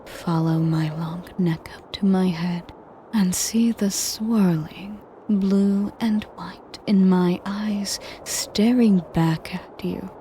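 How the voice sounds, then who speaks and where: A woman playing a sadistic, possessive female dragon in an audio roleplay, speaking in a breathy half-whisper with pompous, condescending menace. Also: medium pitch, fairly even intonation, slow, dark tone, a little hiss or hum, close to an everyday voice. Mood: proud, imperious. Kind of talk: scripted audio roleplay monologue.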